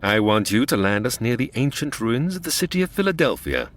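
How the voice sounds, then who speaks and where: An actor playing a scheming male criminal mastermind in a comedy audio drama, with a smooth, theatrical, menacing delivery. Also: mid-range voice, big pitch swings, brisk, noisy background, close to an everyday voice.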